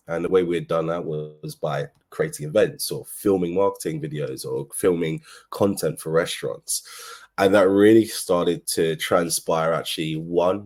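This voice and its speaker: Very deep-voiced London man. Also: a little mellow. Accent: London English. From London, England. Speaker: agency co-founder, speaker. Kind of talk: informal business presentation, telling own story.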